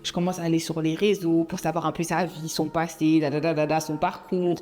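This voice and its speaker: A young woman from Belgium, telling a personal story in a chatty, animated way and slipping in English phrases. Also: deep voice, brisk. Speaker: vlogger. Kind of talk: casual storytime monologue.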